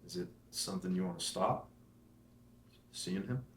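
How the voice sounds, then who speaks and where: An actor playing a calm, supportive young fiancé in a screenplay table read. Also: male voice, medium pitch, moderate pace, distant mic, natural voice. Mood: gentle. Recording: echoey, off-mic, faint hum.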